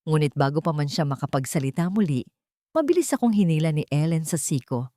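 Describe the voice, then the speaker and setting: Female narrator for dramatic audio fiction: lower register, measured and theatrical, recorded very dry. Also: expressive, warm tone, no echo. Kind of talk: first-person dramatic scripted narration.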